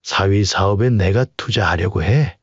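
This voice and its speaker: A man plays a steady older father in a storybook audio drama, his voice low and unhurried. The sound is dry and slightly dull, with no room around it. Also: husky, no room sound. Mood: calm, reassuring. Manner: measured, fatherly, speaks in plain statements. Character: calm older father backing his son-in-law.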